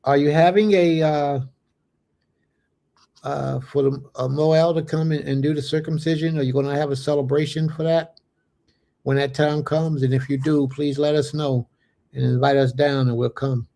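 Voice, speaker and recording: A man's voice: a little high, flat delivery, normal speed, vocal fry, dark tone. A man in his late thirties hosting a religious fellowship livestream, reading viewer comments aloud and answering them in easy chat, with Hebrew blessings sprinkled in. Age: late 30s.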